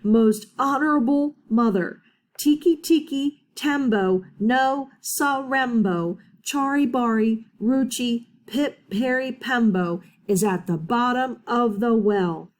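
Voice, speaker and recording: A woman's voice: a little high, lively intonation, a little slow, few pauses, darkish tone. A woman reading a storybook aloud, voicing a small boy who pleads urgently and pants for breath. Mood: slow careful.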